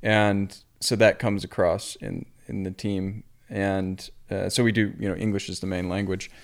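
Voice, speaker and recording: A young adult man from the US Pacific Northwest, a professional cyclist chatting casually on a podcast in a relaxed, rambling way. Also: low-pitched, normal speed, vocal fry. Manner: relaxed, rambling, self-deprecating.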